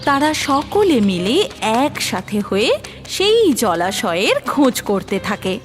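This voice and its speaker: A woman's voice: on the high side, sing-song, takes it slowly. A woman narrating a scripted fairy tale as a cartoon storyteller. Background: music.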